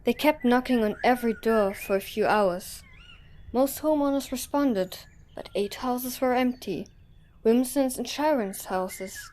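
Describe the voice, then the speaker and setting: A woman's voice: on the high side, unhurried, darkish tone. A woman narrator reading scripted story narration for an audio drama.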